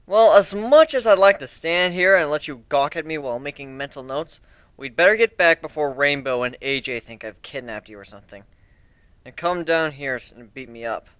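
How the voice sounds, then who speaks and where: A man's voice: on the high side, lively intonation, normal speed, a bit bright, clear, resonant tone, no room sound. A man voicing a cocky, teasing young pirate in an amateur dramatic reading, smug and snarky as he explains himself. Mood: confident, explaining.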